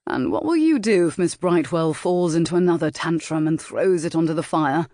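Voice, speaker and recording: A woman's voice: fairly low pitch, expressive, normal speed, warm tone, no echo, studio quality, close to an everyday voice. A woman voicing a languid, teasing aristocratic rake who drawls his lines.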